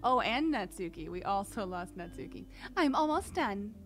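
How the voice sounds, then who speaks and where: Woman voicing a chatty teen girl club leader, bright and bouncy, bit of game music under it. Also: medium pitch, lively intonation, normal speed, lightly acted. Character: cheerful apologetic teen girl club president. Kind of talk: reading game dialogue aloud.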